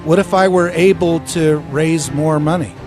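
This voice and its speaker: A man's voice: on the high side, normal speed, a little mellow, faint music behind. A man asking questions conversationally as an interviewer.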